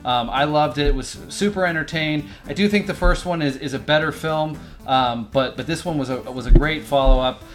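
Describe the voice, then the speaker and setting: A man's voice: on the high side, music in the background. A man in his forties co-hosting a movie review, rambling with many fillers and restarts. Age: 40s. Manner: rambling, halting, filler-heavy. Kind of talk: casual chat.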